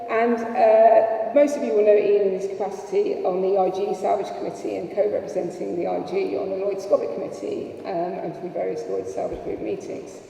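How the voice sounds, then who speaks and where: A woman's voice: mid-range voice, fairly even intonation, fairly quick, creaky, few pauses, dark tone. A woman chairing a conference panel, introducing speakers in a chatty, self-deprecating way with many fillers. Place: conference hall. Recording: echoey hall from far off.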